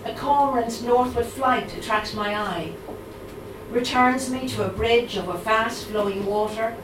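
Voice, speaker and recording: A middle-aged woman with a Dublin Irish accent reads her poems aloud at a live event, steady and measured, slipping into halting, um-filled chat between pieces. She sounds set back from the microphone in a reverberant room. A steady murmur of room ambience sits underneath her. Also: on the high side, noisy background. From Ireland. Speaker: poet. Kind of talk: live poetry reading with chatty asides. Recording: roomy, distant mic, audible room ambience.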